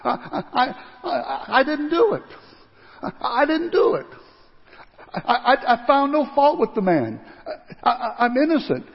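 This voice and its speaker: A man playing a husky guilt-ridden Roman governor. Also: sits high, sing-song, takes it slowly, pauses often, full character voice. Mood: defensive. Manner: theatrical, with swings between hushed and loud delivery. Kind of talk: dramatic first-person monologue. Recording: dull lo-fi sound with long hall reverb.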